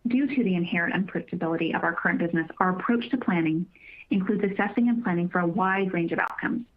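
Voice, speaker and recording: A woman reading prepared remarks as a company finance executive, in a formal, measured manner with careful wording. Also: on the low side. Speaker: company finance executive presenting on an earnings call. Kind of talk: prepared corporate presentation, scripted. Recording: narrow tinny phone-line conference call.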